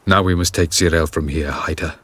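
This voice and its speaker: An actor playing a haughty, stern elven sage in a video game, speaking in a formal, cold, imperious manner. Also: male voice, a bass voice, steady tone, brisk, a bit bright. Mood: stern disapproval.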